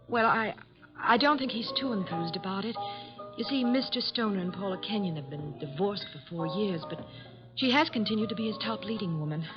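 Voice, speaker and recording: A woman's voice: mid-range voice, brisk, over music, close to an everyday voice. Radio mystery client, female actor: refined young actress, mid-range voice, courteous and a touch hesitant, vintage lo-fi recording. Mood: earnest, confiding. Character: poised, charming young actress, a detective's client. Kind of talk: old radio drama acting. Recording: muffled old radio recording.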